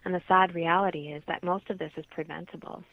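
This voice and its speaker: Woman from Canada, creaky fry, slightly low pitch, explanatory interview guest, lo-fi radio sound. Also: steady tone, fast talker, no room sound. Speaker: holistic health practitioner, interview guest.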